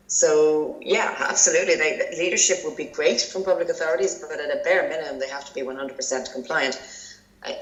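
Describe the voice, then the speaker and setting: An Irish woman giving conversational, explanatory answers as an expert guest in an informal interview. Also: sits low. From Ireland. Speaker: interviewed journalist, podcast guest. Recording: roomy, echoing sound.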